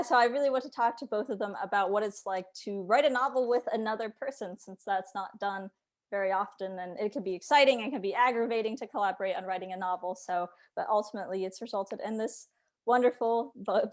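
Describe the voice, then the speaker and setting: A woman interviewer hosting a casual conversation about books over a video call. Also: mid-range voice. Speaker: interviewer and publishing host.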